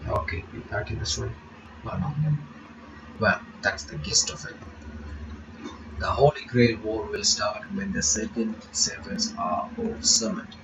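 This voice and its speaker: A man reading a visual novel aloud in a halting way, stopping for casual asides to his viewers. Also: medium pitch. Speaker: reaction channel host. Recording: dull lo-fi audio with music behind.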